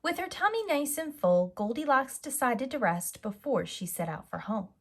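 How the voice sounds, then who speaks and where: Woman, children's storyteller, lively swooping intonation, slightly high voice, emphatic, expressive scripted narration. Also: moderate pace, husky. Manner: emphatic, draws out size words.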